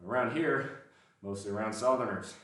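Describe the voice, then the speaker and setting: A man's voice: on the low side, normal speed, a bit bright, far from the mic. A man with a mild Southern accent, chatting casually and self-deprecatingly with his livestream viewers between sets in a gym. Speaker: livestreaming lifter. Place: indoor gym. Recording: very echoey room, voice off-mic.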